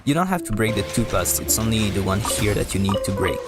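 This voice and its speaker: A man giving casual, halting and rambling live commentary as a video game streamer, often repeating words. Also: lowish voice, animated, over loud music.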